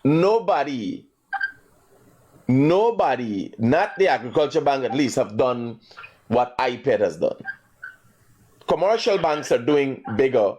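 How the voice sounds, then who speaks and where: A Guyanese man in his forties hosting a call-in talk show, slow and reflective alone, loud and rapid when arguing. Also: mid-range voice, animated, low-quality recording. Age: 40s. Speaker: radio call-in host.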